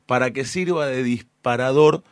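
A man with an Argentine accent, asking interview questions in measured phrases as an attentive host. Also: medium pitch, no echo, clean studio sound.

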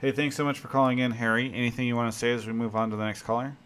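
A man's voice: medium pitch, little pitch movement, very fast, fairly bright tone, smooth. A man hosting a call-in esports talk show, joking and teasing between callers. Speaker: show host.

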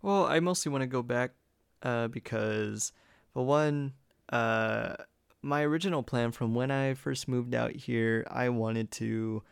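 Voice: mid-range voice, measured pace, creaky, smooth, no echo, clean studio sound. A speaker in their early twenties in casual chat, informal and prone to swearing.

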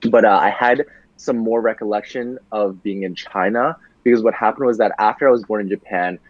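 A young man, a podcast guest, talking casually about his childhood, relaxed and full of fillers, laughing easily. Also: fairly low pitch, fairly quick, no echo, muffled recording. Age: young adult. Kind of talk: casual conversational storytelling.

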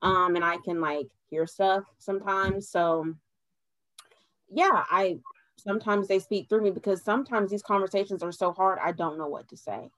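A young adult woman speaks earnestly at a middle pitch, and her voice sits close and dry against the mic. She talks as a podcast guest in a casual chat. She lifts into animated bursts when she laughs. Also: normal speed, no echo, low-quality recording.

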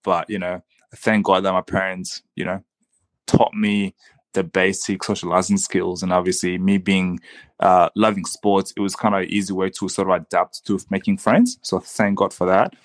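A man with a low voice, recorded close and dry, speaks as an interview guest. A native Swahili speaker, he tells his own story reflectively, pausing and repeating himself as he goes. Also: level tone, no echo. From the Congo, raised in Zimbabwean refugee camps and Australia. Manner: halting, with frequent repeats and "you know".

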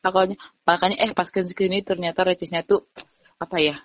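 A young woman chats as a podcast guest, telling stories in an animated, giggly way. Her pitch is ordinary. The sound is dry and a little dull, like a lo-fi home recording. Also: fast, no echo. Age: young adult. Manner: chatty, giggly, animated storytelling. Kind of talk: casual chat.

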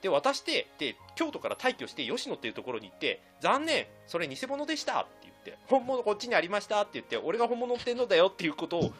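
A man explaining history casually as a panelist on a discussion show, lively and colloquial, voicing quotes as he goes. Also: high voice, quick pace, few pauses, soft background music. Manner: animated explainer, acting out quotes in colloquial speech.

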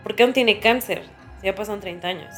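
A woman's voice: mid-range voice, quick pace, soft background music. A woman with a Mexican accent chatting casually and informally as a podcast co-host. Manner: informal, conversational interjections. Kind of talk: casual chat.

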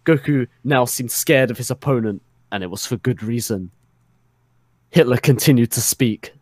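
A man's voice: mid-range voice, moderate pace, a little mellow, no echo. Man narrating mock-serious fiction, dry close sound. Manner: mock-serious storytelling, breaking into laughter. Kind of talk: comedic dramatic reading of fan fiction.

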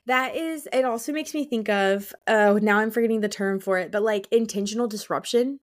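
A woman's voice: mid-range voice, fairly quick. A woman in casual book discussion as a co-host, talking informally with exclamations and plenty of "like".

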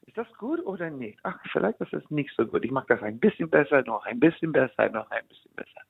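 A man from the United States, a musician, answering in halting German and slipping into English. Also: on the high side, very animated, gravelly, slightly rough, no room sound. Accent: American. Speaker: musician guest. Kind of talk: casual interview in learner German. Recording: dry, phone-like line.

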